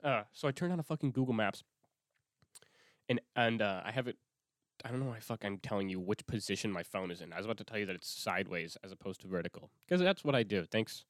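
A man's voice: fairly low pitch, expressive, fast talker, no echo, studio quality. A young man hosting his own podcast, talking alone in a rambling, swear-heavy way with lots of restarts, sniffling from a cold. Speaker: podcast host. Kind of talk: casual solo podcast monologue.

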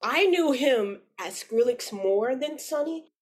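Husky young woman telling stories casually. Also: high voice, expressive, takes it slowly. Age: 20s. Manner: informal, slangy. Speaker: interview guest. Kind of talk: casual chat, storytelling.

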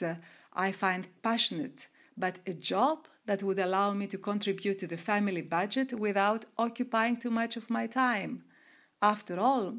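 A middle-aged woman from Greece, answering interview questions in a measured way with frequent restarts and fillers. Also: medium pitch. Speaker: guest, researcher and coach of women entrepreneurs.

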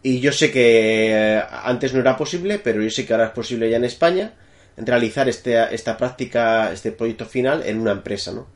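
A man's voice: mid-range voice, fairly quick. Guest, male, Madrid accent, conversational, speaks over a remote call, searches for words as he talks. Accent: Madrid Spanish. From Spain. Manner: thinking aloud, many fillers and restarts. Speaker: guest, technical architect. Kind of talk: remote interview answers.

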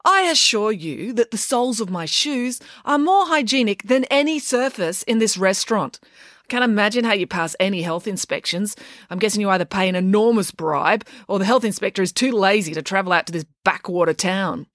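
A woman voicing a brash, sarcastic young girl in a storybook, loud, declamatory and argumentative. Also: mid-range voice, fast, no room sound, clean studio sound, voice pushed up, lightly acted. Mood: dramatic, urgent.

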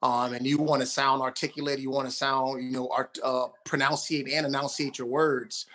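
A man's voice: mid-range voice, level tone, moderate pace, a bit bright. A man co-hosting a casual chat, giving encouraging advice in a mentoring way.

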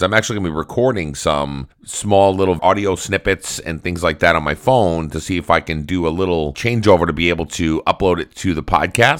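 Middle-aged male podcast host, extremely low deep voice, loose upbeat rambling delivery. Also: a bit bright. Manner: rambling and upbeat, with frequent restarts. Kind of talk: casual solo podcast monologue.

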